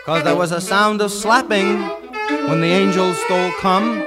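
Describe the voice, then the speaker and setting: A man's voice: high-pitched, fairly even intonation, measured pace. A man reading beat poetry with rhythmic, declamatory phrasing. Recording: loud saxophone jazz mixed with voice. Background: loud saxophone and brass music.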